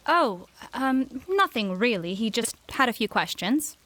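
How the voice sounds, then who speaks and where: A woman's voice: on the high side, sing-song, measured pace, sharp tone, exaggerated voice. A woman playing a composed, polite, slightly prim young lady of the house in an audio drama. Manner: polite, controlled, a little prim.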